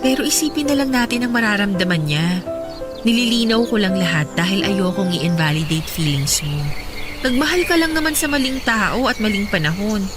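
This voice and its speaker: A woman's voice: mid-range voice, lively intonation, normal speed, natural voice. A woman acting as Jade, a frank, understanding, mature young woman in a Tagalog radio drama, with a Filipino accent and a reassuring manner. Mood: gentle, frank. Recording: music behind the voice.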